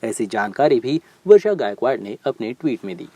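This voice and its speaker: Male news presenter with lively intonation. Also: medium pitch, brisk, dry recording. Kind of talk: scripted news narration in a bulletin.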